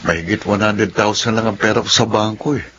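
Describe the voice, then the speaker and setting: Through dull lo-fi radio drama audio comes a man acting a young Filipino swindler with a bright, forceful voice. He is brash and defiant, always justifying himself. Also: on the low side, steady tone, brisk, slight background noise, natural voice. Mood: interested, wary.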